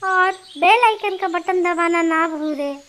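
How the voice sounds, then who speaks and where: A woman tells a children's story in a very high, almost childlike pitch. She takes it slowly, delivering scripted narration as a storyteller. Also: fairly bright tone.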